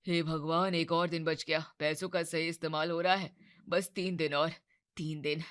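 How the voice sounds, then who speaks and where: A woman's voice: low-pitched, flat delivery, normal speed, fairly bright tone, no room sound, studio quality. A woman voicing a flustered, anxious young man who keeps pleading and worrying. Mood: relieved.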